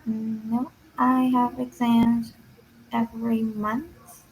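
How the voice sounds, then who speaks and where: A woman's voice: on the high side, level tone, slow, darkish tone, slight background noise, rough recording. A young woman from Vietnam with a Vietnamese accent, an English student giving short, hesitant answers.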